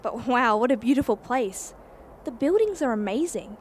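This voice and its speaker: A woman's voice: a little high, moderate pace, slight background noise, close to an everyday voice. A woman playing a plucky young Australian student research assistant, narrating a radio play in slangy first person. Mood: awed. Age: young adult. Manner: animated, slangy.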